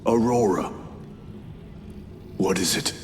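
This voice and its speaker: An actor playing a stoic, battle-hardened space marine lieutenant in a video game, speaking formally, tersely and with restraint. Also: male voice, deep voice, animated, takes it slowly, a little mellow. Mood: grave, probing. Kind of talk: dramatic video game cutscene acting. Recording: reverberant hall, music behind.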